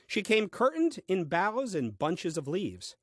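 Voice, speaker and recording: A man's voice: high voice, huge pitch swings, slow pace, dry recording, exaggerated voice. An actor playing a grumbling, self-important first man reading his diary in a comic audio play, with dry, fussy delivery. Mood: dryly pompous.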